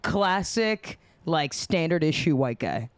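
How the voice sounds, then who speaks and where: A hungover American woman hosts a casual podcast, blunt and jokey, with a smooth, clear voice. The sound is close and dry, with no room around it. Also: sits low, very animated, slow, no echo. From United States. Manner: jokey, blunt, swears freely. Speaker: podcast host. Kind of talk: casual chat.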